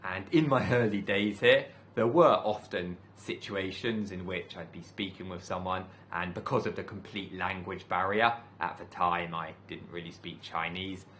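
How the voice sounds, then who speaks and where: A man from the UK, a teacher, giving a calm, thoughtful talk to camera in a measured way with many pauses. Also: deep voice, a little hiss or hum. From United Kingdom. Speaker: teacher and channel host. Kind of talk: reflective solo talk to camera.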